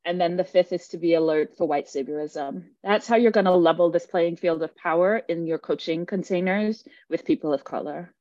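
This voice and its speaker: A woman's voice: fairly low pitch, a little fast, hardly any pauses, warm tone, no room sound, muffled recording. A woman from Jamaica leading an online workshop, speaking earnestly and emphatically and often checking in with her listeners. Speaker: workshop facilitator. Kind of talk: teaching a live online workshop.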